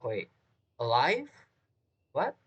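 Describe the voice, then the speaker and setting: A man giving casual game commentary and reading text aloud in a halting way, reacting as he goes. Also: mid-range voice, huge pitch swings, fairly bright tone, breathy edge, muffled recording. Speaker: gaming streamer.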